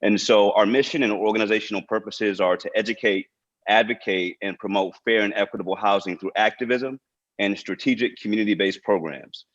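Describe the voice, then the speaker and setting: A man's voice: fairly low pitch, a little fast. A man speaking as a nonprofit director, giving informal prepared remarks to an online panel over a video call. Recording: dull, lo-fi video call audio.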